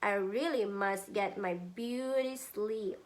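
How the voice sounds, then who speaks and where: A woman's voice: medium pitch, slow, close to an everyday voice. A woman voicing a vain, fussy cat who makes prim excuses in a storybook.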